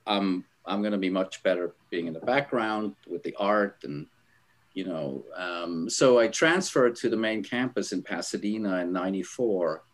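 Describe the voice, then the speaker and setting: A middle-aged man from Switzerland tells stories on a podcast in a rambling, easygoing way. His voice sits on the low side, and he fills his sentences with "you know" and "um". Now and then he breaks into short laughs. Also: lively intonation, normal speed. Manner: rambling, filler-heavy, laughs while telling stories. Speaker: guest, album art director. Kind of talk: casual interview storytelling.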